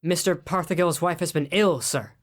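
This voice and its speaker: A man's voice: a little high, moderate pace, a bit bright, natural voice. An actor playing a meek, put-upon clerk who speaks apologetically and deferentially to his employer. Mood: timid.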